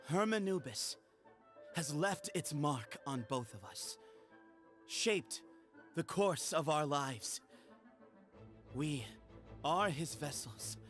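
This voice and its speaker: An earnest young man, leader of a temple in a fantasy game. His voice sits high for a man and moves slowly, solemn and measured, with weighty pauses. Soft music plays behind him. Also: lively intonation. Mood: reflective, solemn. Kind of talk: dramatic game voice acting.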